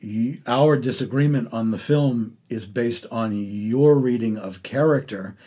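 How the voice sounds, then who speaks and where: A man hosting a casual arts interview, speaking haltingly and often restarting his sentences. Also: mid-range voice, dark tone, slightly rough. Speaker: interviewer and podcast host.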